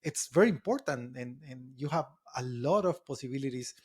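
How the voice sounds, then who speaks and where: A man's voice: mid-range voice, expressive, a little fast, darkish tone, breathy edge. A man from Costa Rica hosting an interview, speaking English in long flowing runs and asking questions. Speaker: interviewer, host.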